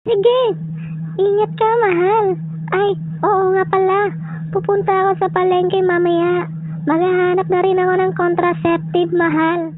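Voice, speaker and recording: A woman plays a worried, caring young wife in an amateur radio drama. Her voice is extremely high. The recording is dull and lo-fi, with music behind her. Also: little pitch movement, measured pace, dry recording. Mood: gentle.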